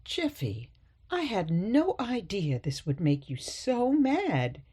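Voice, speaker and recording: A woman playing a sweet, gently stubborn elderly mother in a radio play, coaxing and chiding softly. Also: medium pitch, huge pitch swings, slow pace, breathy edge, heavily acted.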